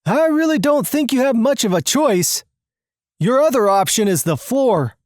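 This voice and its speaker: A brusque getaway accomplice from a cartoon mystery, read by the narrator, bossy and impatient. The voice sits raised in pitch with lively movement, commanding and dismissive, recorded dry. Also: normal speed, dry recording, lightly acted. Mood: sarcastic.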